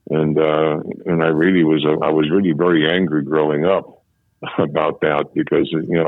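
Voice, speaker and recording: Older man, seventies, very low voice, halting storyteller, dry phone-line sound. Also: no room sound. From Chicago, USA. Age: 70s. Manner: halting, repeats and restarts phrases. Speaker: interviewee, former policeman. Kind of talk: reminiscing in a phone interview. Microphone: telephone.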